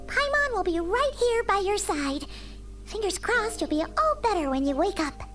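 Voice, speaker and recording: A woman voicing a small, childlike fairy companion in a video game, excitable and caring, with a squeaky voice and music behind her. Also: normal speed. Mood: concerned, reassuring. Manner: refers to self in third person, animated.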